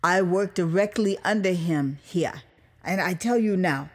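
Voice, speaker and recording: A woman's voice: on the low side, measured pace. A woman voicing a proud, earnest Liberian government man in an audio drama, with a Liberian accent and an emphatic, formal way of repeating himself. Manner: emphatic, repetitive, addressing listeners formally.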